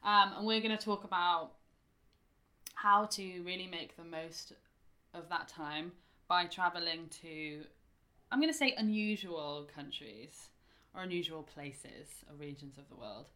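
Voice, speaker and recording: A British woman hosts a travel podcast with an eager, chatty manner and an easy laugh. Her voice sits a little low but has a bright, forceful edge. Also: measured pace. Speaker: podcast host. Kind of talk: casual interview chat. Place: indoors in a home.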